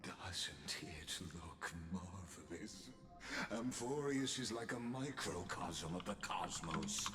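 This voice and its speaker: Very deep, pompous game villain. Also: male voice, echoey room, exaggerated voice. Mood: grandiose, musing. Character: calm, scheming, erudite male villain, grandiose and unhurried. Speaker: game character voice actor. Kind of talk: theatrical scripted game dialogue.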